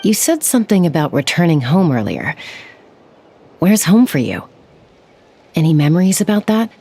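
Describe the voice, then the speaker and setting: A woman voicing a practical young adventurer who asks calm, steady questions. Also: lowish voice, moderate pace, slightly rough, exaggerated voice.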